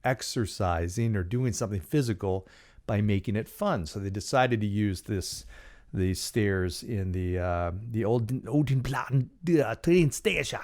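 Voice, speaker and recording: Male host in his forties, slightly low voice, relaxed and joking, close studio condenser mic in a dry treated room. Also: a little slow. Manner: casual, joking, animated with hand gestures. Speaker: podcast host. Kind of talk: solo leadership talk. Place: small studio with dark acoustic panels. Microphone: large studio condenser mic in front.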